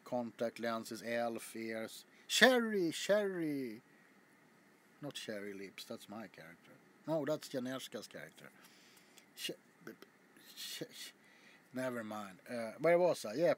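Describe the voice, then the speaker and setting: A man chatting casually to his viewers as a video host, rambling with frequent stammers, restarts and casual swearing. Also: medium pitch. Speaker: ASMR YouTuber.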